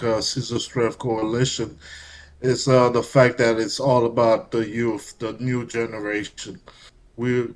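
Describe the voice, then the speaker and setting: A man talks slowly and thoughtfully as a podcast guest. His pitch sits mid-range, and he stops often to search for words. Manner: halting, thoughtful, many fillers. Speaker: guest, coalition member. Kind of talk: conversational podcast interview.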